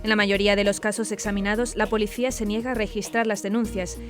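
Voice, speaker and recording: A woman's voice: medium pitch, little pitch movement. A woman news presenter, reading a script formally and evenly. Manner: formal, measured newsreading. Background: clearly audible background music.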